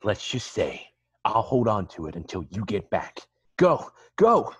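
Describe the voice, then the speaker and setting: Man playing a husky, wildly swinging dim male model. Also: lowish voice, very animated, measured pace, a bit bright, heavily acted. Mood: earnest, resigned. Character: dim, excitable male fashion model, earnest and easily frustrated. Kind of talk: amateur table read over video call. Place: home, remote video call. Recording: dull, lo-fi video call audio.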